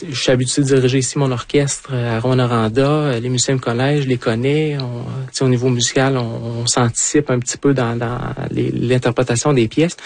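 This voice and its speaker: A man's voice: mid-range voice, a little mellow, no room sound. A middle-aged man with a Quebec French accent, answering in a radio interview as a trumpeter and conductor, hesitant with many fillers and restarts.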